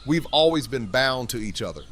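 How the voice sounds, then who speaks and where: A man acting in an audio drama as a warm, stately community leader, with theatrical, oratorical phrasing. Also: medium pitch, lively intonation, fairly quick. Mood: proud, ceremonial.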